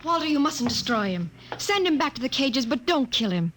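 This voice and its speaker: An actress playing an earnest, troubled young woman scientist in a 1950s radio drama, with a breathy voice and halting delivery. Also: high voice, very animated, a little hiss or hum. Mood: anxious, pleading.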